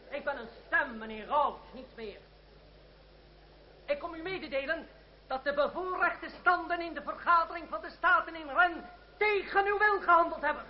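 A fiery revolutionary orator declaims in a very high, straining register. The delivery is solemn and rhetorical, as in radio drama. The recording is dull, with a crowd around the voice. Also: male voice. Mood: defiant. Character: fiery revolutionary orator rousing townspeople to arms.

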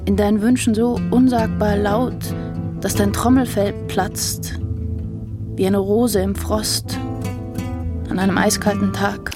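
A woman reading poetic narration for a radio play in a measured, literary way. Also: medium pitch, little pitch movement, lightly acted. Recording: music behind the voice. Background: plucked guitar music.

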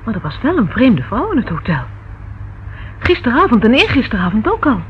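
Anxious, earnest young woman for radio drama: pleading, very animated intonation, dull old recording. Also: on the high side, brisk, slight background noise. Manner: pleading, hesitant, emotional. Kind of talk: Dutch radio play acting.

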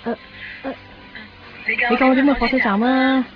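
A woman's voice: high-pitched, little pitch movement, moderate pace, natural voice. Actress as sincere young Cantonese woman, muffled sound. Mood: polite. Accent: Hong Kong Cantonese. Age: young adult. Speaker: radio drama actor. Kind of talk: naturalistic 1990s radio drama dialogue and inner monologue. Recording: muffled 1990s radio drama, faint music.

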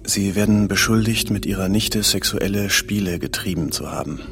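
An actor in a radio play, playing a formal middle-aged boss who confronts an employee in a measured, insistent manner. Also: male voice, fairly low pitch, vocal fry, a bit bright. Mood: formal, pressing.

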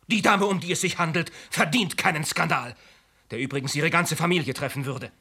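An actor playing a self-righteous insurance agent who confesses to murder in a deliberate, formal, unrepentant way in a German radio play. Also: male voice, fairly high pitch, sharp tone, breathy edge, heavily acted. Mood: defiant.

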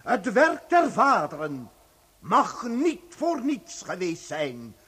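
Fanatical president speech, really slow, high husky voice, big swoops, radio play. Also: male voice, wildly swooping pitch, sharp tone, exaggerated voice. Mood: grandiose, fervent. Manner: declamatory oratory with dramatic pauses. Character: solemn, fanatical president addressing his people. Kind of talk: Dutch radio play acting.

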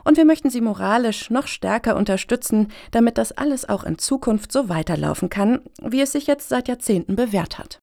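A woman's voice: on the high side, expressive, brisk, vocal fry, few pauses, dark tone. An actress playing an earnest, self-important middle-aged woman activist in a satirical radio play, speaking formally and wordily. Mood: solemn.

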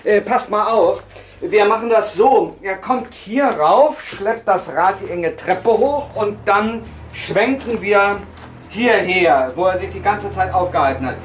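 A man's voice: sits high, unhurried, slight background noise. An actor playing a commanding, businesslike male film director who gives instructions in naturalistic radio drama dialogue. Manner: directing, hesitant asides, giving instructions.

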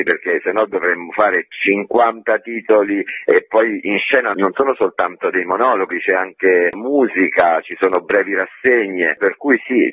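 A man's voice: low-pitched, husky. A man speaking informally as a guest in a radio interview, over a phone line. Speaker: theatre artist and festival guest.

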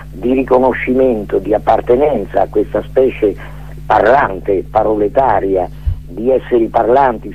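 Husky older man on a tinny phone line. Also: mid-range voice. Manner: digressive, hesitant, searching for words. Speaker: radio guest speaker. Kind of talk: rambling philosophical monologue.

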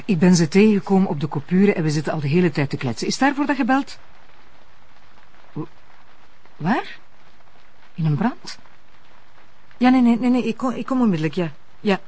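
A woman's voice: mid-range voice, expressive, normal speed, pauses often, dark tone, close to an everyday voice. An actress playing an evasive, flustered but firm married woman with a Flemish accent and hesitant delivery in TV drama. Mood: flustered on phone. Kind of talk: naturalistic TV drama acting.

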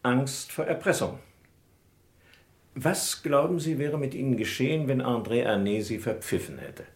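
An actor playing an exacting defence lawyer in a vintage radio crime drama, formal and full of probing questions. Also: male voice, medium pitch, animated, unhurried. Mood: insistent, probing. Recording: roomy old radio play recording.

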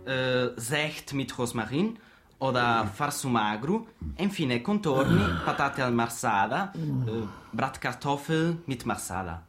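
An actor playing a courteous restaurant waiter, polite and deferential, in a German radio comedy. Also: male voice, mid-range voice, expressive, unhurried, a bit bright. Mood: polite, attentive.

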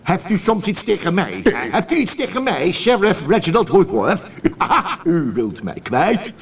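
An actor playing a suspicious, blustering small-town sheriff in a radio comedy, repeating his phrases and swinging between soothing and shouting. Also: sits high, sing-song, unhurried, far from the mic, exaggerated voice. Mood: suspicious, accusing. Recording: faintly noisy 1980 radio recording, off-mic.

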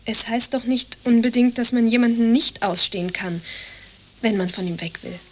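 Actress as reticent, high-voiced young lodger. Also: female voice, little pitch movement, moderate pace, a little hiss or hum, close to an everyday voice. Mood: guarded, soft. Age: young adult. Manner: reserved, short evasive answers. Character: reticent young female lodger, quietly evasive. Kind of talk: understated radio drama dialogue.

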